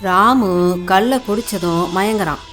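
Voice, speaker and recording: A woman tells an animated story as its narrator. Her voice is medium in pitch and her wording colloquial. Soft music plays underneath. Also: measured pace.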